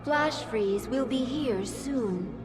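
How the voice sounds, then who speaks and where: Slow, high-voiced dutiful alien adviser. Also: female voice. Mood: calm, warning. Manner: measured, deferential. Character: calm, dutiful alien adviser serving her queen. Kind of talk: video game adviser lines. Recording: game music behind.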